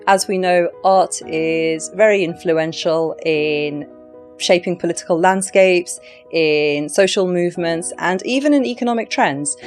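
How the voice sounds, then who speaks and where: A woman's voice: on the low side, darkish tone. A woman hosting a podcast who asks questions steadily and backs up answers with short affirmations. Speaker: podcast host. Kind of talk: hosted interview.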